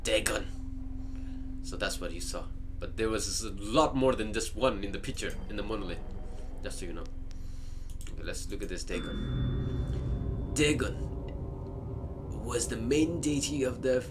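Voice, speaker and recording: A man's voice: lowish voice, unhurried, pauses often. A man giving live commentary as he plays a horror game, often repeating himself. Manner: excitable, stammering, repeats himself. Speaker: gaming video host. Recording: music playing behind the voice.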